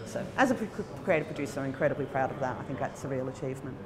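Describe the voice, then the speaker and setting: An Australian woman in her forties talking conversationally in an interview as a film producer, in an animated, emphatic, informal manner. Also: extremely low pitch, rapid-fire. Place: indoor room by a bright window at a festival. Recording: chatter of people in the background.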